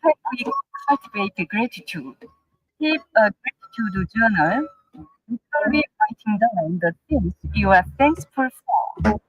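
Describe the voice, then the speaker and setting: A woman delivering motivational sayings in an inspirational, deliberate way. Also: fairly high pitch, very animated, husky, dry recording, dull audio, faint music behind. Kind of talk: motivational quotes.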